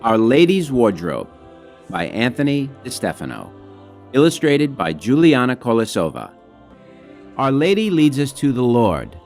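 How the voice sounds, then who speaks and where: Very animated man reading a children's book. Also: mid-range voice, unhurried, faint music behind. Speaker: book reader.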